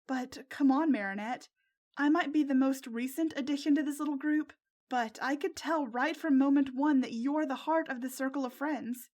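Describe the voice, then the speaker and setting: A woman voicing a loyal, excitable teenage girl who gushes like a superhero fan in a narrated story. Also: sits high, moderate pace, lightly acted. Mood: reassuring. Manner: gushing, squealing when excited.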